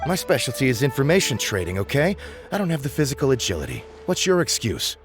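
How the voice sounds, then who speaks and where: A man's voice: mid-range voice, sing-song, quick pace, close to an everyday voice. A man playing a smug, defensive information broker in a video game, wordy and sarcastic. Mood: snide, defensive. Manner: wordy, snide. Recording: music behind the voice.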